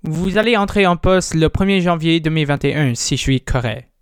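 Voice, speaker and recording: A male radio host with a high, smooth voice opens the show and puts formal, polite questions to his guest. He sounds dry and close to the mic, and his delivery is clearly scripted. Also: brisk, no echo. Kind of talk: radio interview.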